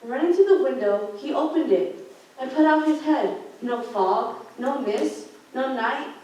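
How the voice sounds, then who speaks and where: Young female narrator, higher voice, halting scripted read of a holiday play, very echoey room. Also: a little mellow. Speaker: narrator in a student radio play. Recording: very echoey room, distant microphone.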